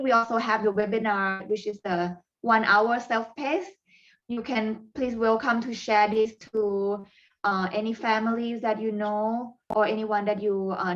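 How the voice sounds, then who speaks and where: A woman with a Thai accent, whose first language is Thai, presents parent coaching strategies in a webinar, pausing often with fillers and non-native phrasing. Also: on the high side, a bit bright, highs cut off.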